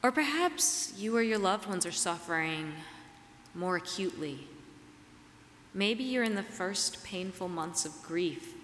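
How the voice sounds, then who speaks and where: Slightly raspy woman preaching in echoing hall. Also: mid-range voice, animated, measured pace, fairly bright tone. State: getting over a cold and flu. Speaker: preacher giving a sermon. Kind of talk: sermon, reflective and measured. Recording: reverberant hall, clear background noise.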